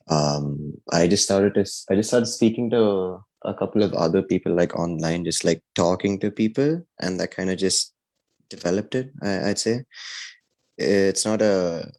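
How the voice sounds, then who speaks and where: A man's voice: deep voice, dark tone, rough recording. A young man from India, a podcast guest chatting casually with many fillers and restarts. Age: young adult. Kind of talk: casual chat.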